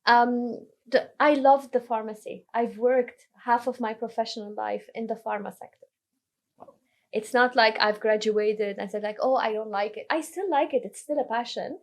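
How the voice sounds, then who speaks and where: A woman from Lebanon, a podcast guest telling her career story in a relaxed way, often restarting her sentences. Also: fairly high pitch, normal speed. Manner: relaxed, self-correcting storyteller. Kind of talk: casual interview conversation.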